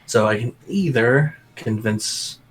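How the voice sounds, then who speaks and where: A man's voice: medium pitch, slow, breathy edge. A man joining a game stream, bantering casually in a dry, joking way. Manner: dry, joking, laughs often. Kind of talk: casual let's play banter.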